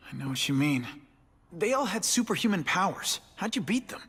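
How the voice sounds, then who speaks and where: An actor playing a young, earnest, slightly awkward superhero in a video game, with a casual, dry, quipping manner. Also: male voice, high-pitched, big pitch swings, a little fast, a bit bright, breathy edge, exaggerated voice. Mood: curious. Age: young adult. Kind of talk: video game cutscene acting.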